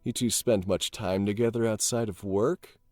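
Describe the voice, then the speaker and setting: A man's voice: on the low side, steady tone, normal speed, darkish tone, soft background music, close to an everyday voice. A man playing a prying, falsely friendly workplace boss in an audio drama, breezy and probing. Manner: breezy, probing, insincere politeness.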